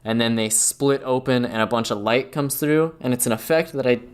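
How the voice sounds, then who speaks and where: A man's voice: mid-range voice, brisk, clear, resonant tone. A man co-hosting a podcast, eagerly retelling a film scene by scene in casual chat. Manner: animated retelling, many "like" fillers. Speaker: podcast co-host.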